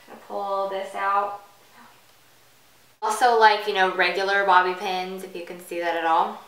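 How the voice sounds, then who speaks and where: A woman's voice: mid-range voice, little pitch movement, normal speed, far from the mic. A woman giving a casual, chatty step-by-step hairstyling tutorial as she demonstrates. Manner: chatty, informal. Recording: bright roomy echo, off the mic.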